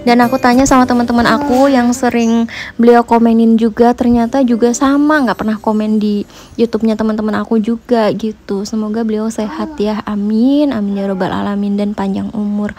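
A woman's voice: a little high, steady tone, fairly quick, a little mellow. A woman in her thirties, a housewife vlogger, narrating her chores in a friendly, chatty voice-over that keeps addressing her viewers. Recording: voice-over with music bed. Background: background music.

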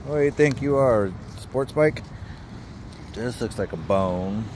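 A man's voice: medium pitch, expressive, unhurried, a little mellow, slight background noise. A man, a dog trainer, talking playfully to his dogs on a beach at night, recorded on a handheld camera. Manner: coaxing, playful talk to dogs. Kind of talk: casual talk to his dogs.

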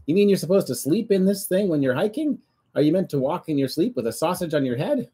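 A man voicing a small, excitable boy cat in a storybook reading, high and eager. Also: husky. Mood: excited. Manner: excitable, quick to complain.

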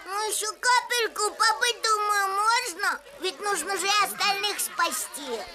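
A woman voicing a cocky, impulsive little boy hero in an animated film, whiny and excitable. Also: very high-pitched, unhurried. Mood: earnest. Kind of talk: animated film character acting.

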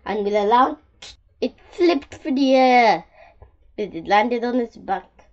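A woman reading a children's picture book aloud in an expressive, deliberate way. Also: a little high, muffled recording. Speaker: storybook reader.

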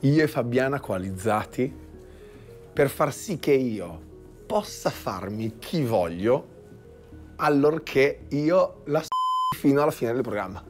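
A man's voice: medium pitch, expressive, slow pace, soft background music. A cocky young man on a reality show, talking boastfully in casual chat.

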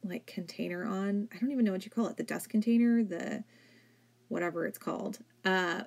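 A woman's voice: mid-range voice, a little fast, gravelly, a little mellow. A woman in her thirties, a home vlogger, narrating casually over her cleaning footage, chatty and full of "like". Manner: chatty, filler-heavy with frequent "like". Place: home, indoors.

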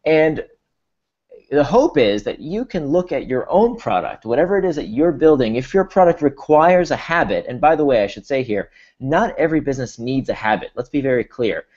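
A man's voice: mid-range voice, expressive, normal speed, a little mellow. An Israeli-born man with an American accent, presenting a workshop over a video call, explaining steadily and restarting with repeated words. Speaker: workshop speaker. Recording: dull lo-fi video call audio.

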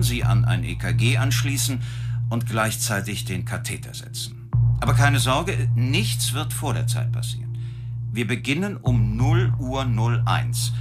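Actor as low-voiced, formal prison official over music. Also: male voice, natural voice. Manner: formal, procedural, measured. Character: businesslike prison official briefing a condemned man. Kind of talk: radio play dialogue. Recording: music behind the voice.

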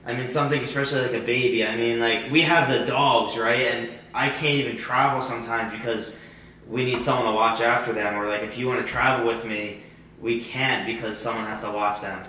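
A man's voice: mid-range voice, steady tone, fairly quick, bright, forceful tone, a little hiss or hum. A twenty-two-year-old man talking casually and hesitantly, rambling with many restarts. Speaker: vlogger's boyfriend. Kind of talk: casual chat. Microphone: hidden camera across the room. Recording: very echoey room, voice far from the mic.

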